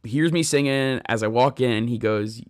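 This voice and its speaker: A man's voice: medium pitch, moderate pace, dry recording, studio quality. A man in his thirties giving an earnest, conversational devotional talk on scripture, speaking straight to listeners and telling personal stories. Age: 30s. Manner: addresses listeners directly.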